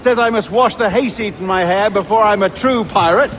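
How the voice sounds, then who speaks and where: Actor as a high-voiced, eager gentleman pirate. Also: a little fast, close to an everyday voice. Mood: delighted, eager. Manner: polite, chirpy, flowery. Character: genteel, eager, naive gentleman turned novice pirate. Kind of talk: video game cutscene dialogue. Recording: noisy outdoor waterside ambience behind.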